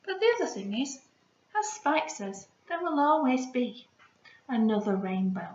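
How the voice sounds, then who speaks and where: A woman primary school teacher talking to young children in an encouraging, expressive storytelling style. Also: on the high side, a little slow. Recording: roomy, slightly dull home recording.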